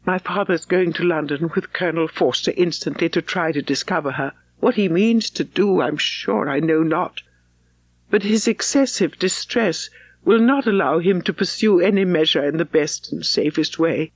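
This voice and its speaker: A woman reading as an anxious, gentle young gentlewoman, muffled. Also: on the low side, moderate pace, dry recording. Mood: distressed, pleading. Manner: earnest, sorrowful, refined.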